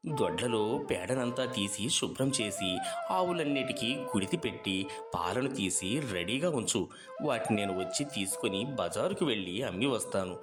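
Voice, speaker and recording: A man's voice: on the low side, expressive, normal speed, close to an everyday voice. A man voicing a kindly, earnest village cowherd and milk seller in a dramatized moral story. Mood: instructing. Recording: music behind the voice. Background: background music.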